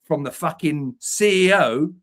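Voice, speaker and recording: A man's voice: on the high side, lively intonation, unhurried, a bit bright. An English man co-hosting a podcast from an upstairs room at home, earnest and emphatic, swearing to drive points home. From England. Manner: emphatic, swears for emphasis, repeats words when pressing a point. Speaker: podcast co-host, men's community founder. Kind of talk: earnest conversational podcast talk.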